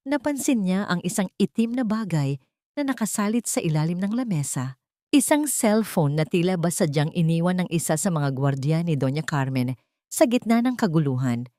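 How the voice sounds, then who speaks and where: Lowish-voiced woman narrating a drama. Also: sing-song, moderate pace, dark tone, dry recording, studio quality. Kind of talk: scripted dramatic story narration.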